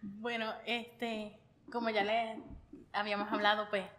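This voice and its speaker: A woman's voice: medium pitch, little pitch movement, measured pace, a bit bright. Woman, Puerto Rican accent, mid-range voice, conversational anecdotes, exclamatory podcast guest. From Puerto Rico. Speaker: podcast guest telling her immigration story. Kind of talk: casual personal storytelling.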